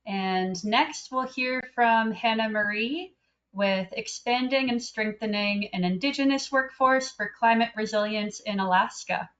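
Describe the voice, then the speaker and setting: A woman moderating a session, introducing speakers slowly and deliberately over a video call. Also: fairly high pitch, fairly bright tone. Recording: muffled video call audio.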